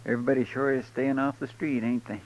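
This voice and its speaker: An actor playing a loyal, simple deputy with a rural American drawl in a 1950s radio western, folksy and deferential. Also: male voice, mid-range voice, little pitch movement, quick pace, darkish tone, lightly acted. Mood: uneasy. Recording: muffled, hissy old radio recording.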